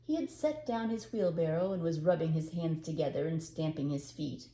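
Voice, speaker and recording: Older lady reading a storybook out loud, warm mellow voice, bit low, echoey room. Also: moderate pace. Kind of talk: expressive storybook narration.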